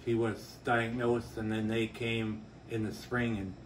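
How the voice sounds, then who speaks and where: A middle-aged farmer speaks in a grateful, steady way, his pitch staying flat and level throughout. Faint noise sits behind him. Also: unhurried. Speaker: farmer and volunteer. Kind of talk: interview answers.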